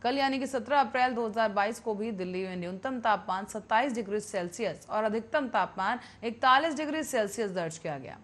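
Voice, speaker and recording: Woman news anchor, kinda high voice, reading scripted tv bulletin. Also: brisk, hardly any pauses, a bit bright.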